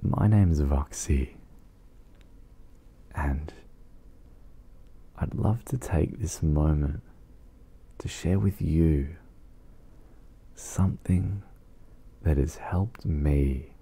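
Very deep, slow, breathy man. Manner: soft, soothing, breathy, with long pauses. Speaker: guided meditation host. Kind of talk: ASMR guided meditation.

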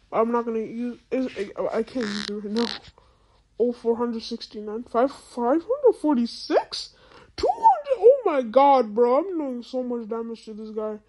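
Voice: mid-range voice, darkish tone, rough recording. A female-sounding voice through a voice changer, giving casual gameplay commentary in an exclamatory, incredulous, slangy and repetitive way. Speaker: gaming video host.

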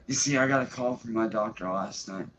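A man's voice: on the low side, a little fast. A man on a livestream ranting angrily, with heavy profanity and threatening emphasis. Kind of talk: angry livestream rant. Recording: dull lo-fi stream audio with faint hiss.

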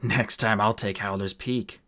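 A man's voice: on the low side, fairly even intonation, a little slow, bright, forceful tone. A man voicing a sulky, cheeky dwarf convict from an audiobook, with slangy, flippant wheedling. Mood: complaining.

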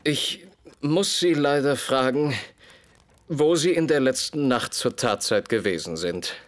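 Man acting stern Sherlock Holmes, lively intonation. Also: mid-range voice, close to an everyday voice. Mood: stern, probing. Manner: commanding, deliberate, condescending. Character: Sherlock Holmes, stern, shrewd master detective, adult man. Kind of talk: radio play acting.

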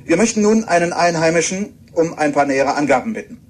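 A man's voice: fairly high pitch, lively intonation, fast talker. A man playing a courteous, eager radio reporter on location in a radio drama, speaking slightly formally and chattily. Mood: courteous, presenting. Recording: clear background noise, location ambience.

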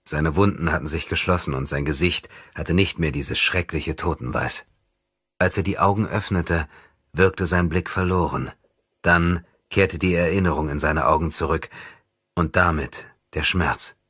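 Male audiobook narrator: extremely low, breathy-husky voice, measured dramatic reading, dry studio sound. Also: fairly bright tone, dry recording. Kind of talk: scripted dramatic literary narration.

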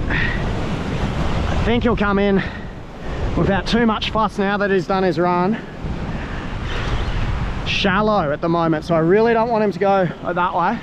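A young man giving excited running commentary while fighting a fish on sea rocks, straining as he goes, recorded on a head-mounted camera. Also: high-pitched. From Australia. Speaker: fishing vlogger. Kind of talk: live commentary while fishing. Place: outdoor sea rocks by crashing surf. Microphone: head-mounted action camera. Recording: wind and surf noise on camera mic.

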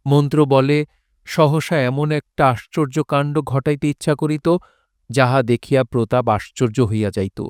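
A man reading a scripted literary story as narrator, in measured, formal diction. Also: mid-range voice, steady tone, darkish tone, smooth, no echo, clean studio sound. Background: faint music.

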